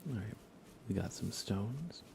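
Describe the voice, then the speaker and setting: A man hosting an ASMR gaming livestream, speaking in a breathy, hushed, reassuring whisper with some vocal fry. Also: lowish voice, animated, unhurried, slight background noise. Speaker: livestream host.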